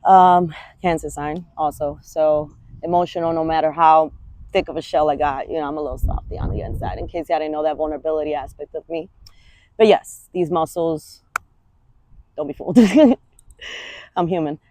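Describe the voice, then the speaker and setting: A woman's voice: deep voice, fairly even intonation, moderate pace, mellow, no echo. Candid, swearing New woman coach. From Washington Heights, New York. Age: 30s. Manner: candid, rambling, swears freely. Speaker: fitness coach. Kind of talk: casual vlog monologue.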